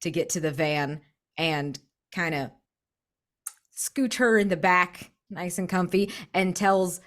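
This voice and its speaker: A woman's voice: lowish voice, a little slow, fairly bright tone. A woman chatting casually as a player in a roleplaying game, thinking aloud in a playful, slangy way.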